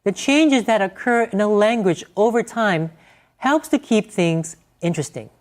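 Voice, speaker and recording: A woman reading a scripted language segment in an expressive way. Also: medium pitch, normal speed. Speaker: segment narrator. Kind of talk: scripted narration.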